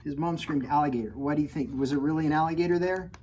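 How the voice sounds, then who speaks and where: A man's voice: fairly high pitch, fairly even intonation, fast talker, darkish tone. A man reading a picture book aloud to children in a playful, conversational way, breaking off to chat and ask them questions. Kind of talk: animated storytime read-aloud with chatty asides to children.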